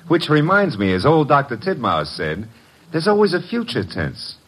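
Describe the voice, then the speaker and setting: Lively, husky wisecracking sidekick. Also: male voice, on the high side, unhurried, exaggerated voice. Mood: teasing. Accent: American. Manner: breezy, slangy, sardonic. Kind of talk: old-time American radio detective drama acting.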